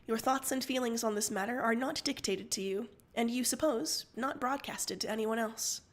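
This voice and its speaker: Higher-pitched woman narrating a story. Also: moderate pace. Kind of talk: second-person story narration, read on a livestream.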